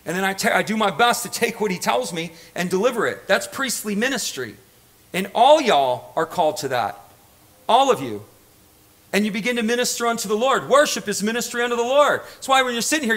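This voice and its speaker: High-pitched preacher, bright and emphatic. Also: male voice. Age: middle-aged. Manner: emphatic, repetitive, prods listeners with rhetorical questions. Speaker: pastor. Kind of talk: impassioned sermon to a congregation. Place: church stage.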